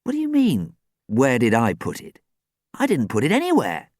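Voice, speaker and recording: A man's voice: sits high, big pitch swings, normal speed, no echo, studio quality, pitched above the performer's own voice. A man voicing an earnest, tentative, easily worried schoolboy in a children's story. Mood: bemused.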